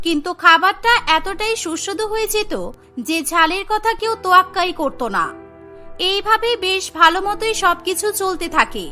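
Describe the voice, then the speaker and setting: Very high, bright female cartoon narrator. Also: expressive, moderate pace. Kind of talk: scripted children's cartoon story narration. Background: music.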